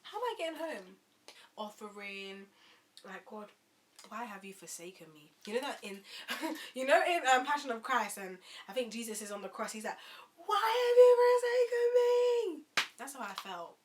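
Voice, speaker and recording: A young woman, telling a storytime vlog in an animated, indignant way and sometimes swearing. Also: mid-range voice, moderate pace, a little hiss or hum. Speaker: vlogger.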